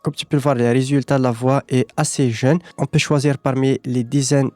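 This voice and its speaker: A man presenting a software tutorial, explaining things step by step. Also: mid-range voice, little pitch movement, a little fast, no room sound, soft background music.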